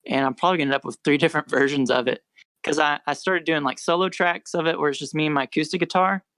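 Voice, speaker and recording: A quiet-voiced young American man and musician, chatting in a relaxed, rambling way as a podcast guest. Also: on the high side, fast talker, no echo, poor audio. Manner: rambling, self-correcting, relaxed. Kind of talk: casual podcast interview.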